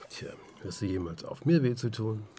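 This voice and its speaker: A man's voice: fairly low pitch, lively intonation, normal speed, heavy background noise, close to an everyday voice. An actor playing a restless, sardonic down-on-his-luck man in a radio drama, colloquial and insistent in a naturalistic style. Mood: sardonic, pressing. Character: restless, sardonic down-on-his-luck amateur sleuth, wary of police. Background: faint background ambience.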